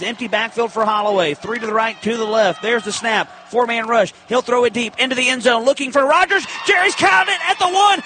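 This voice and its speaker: A man's voice: very high voice, background noise. A man calling college football on the radio as a play-by-play announcer, in short, clipped present-tense bursts.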